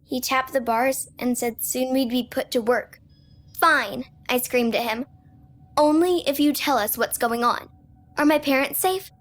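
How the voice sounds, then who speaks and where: A woman's voice: high-pitched, a little slow, fairly bright tone. A woman voicing a defiant captive child in a video game, telling a story plainly and bitterly. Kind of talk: video game narrative monologue.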